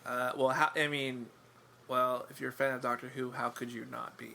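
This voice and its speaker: A man with a gravelly, bright tone talks casually as a podcast guest. His manner is wry and self-deprecating, and he rambles with plenty of ums and restarts. A faint hiss sits under his voice. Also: mid-range voice, flat delivery, normal speed. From England.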